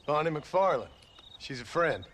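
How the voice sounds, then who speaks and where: An actor playing a gruff, plain-spoken frontier father and former gunslinger in a video game, with an American drawl. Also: on the high side, big pitch swings, unhurried, fairly bright tone, slight background noise. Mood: easygoing. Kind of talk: dramatic video game acting.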